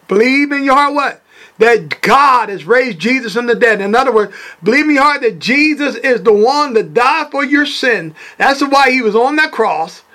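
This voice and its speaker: High-voiced fervent male preacher. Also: pushed and bright. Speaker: preacher and podcast host. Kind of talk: sermon.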